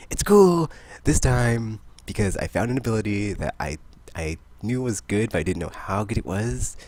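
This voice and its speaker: A man hosting a podcast, chatting about games in a rambling, excitable way. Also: on the low side, moderate pace. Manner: rambling, excitable, laughs often. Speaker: podcast host. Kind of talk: casual gaming chat.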